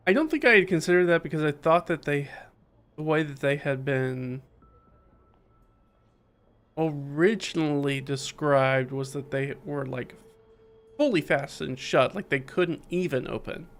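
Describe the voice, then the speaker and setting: A man with a slightly high voice talks casually through a video game. He muses and hesitates as he thinks aloud, with music playing behind him. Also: measured pace, pauses often, fairly bright tone. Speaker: game streamer. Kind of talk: casual playthrough commentary mixed with reading game dialogue aloud.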